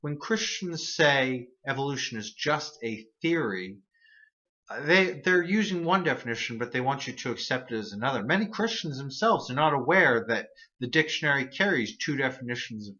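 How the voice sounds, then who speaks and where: Bright-voiced man explaining logic fallacies. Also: medium pitch, lively intonation, normal speed, lo-fi sound. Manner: earnest, frequent restarts and repeated words. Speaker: host of an atheist video series. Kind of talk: casual explanatory monologue.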